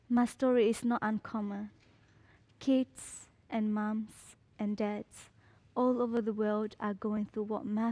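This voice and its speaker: Slow, low-toned teenage girl reading. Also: dark tone, dry recording. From Burma. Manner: slow, measured, deliberate reading. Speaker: student. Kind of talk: reading a personal story.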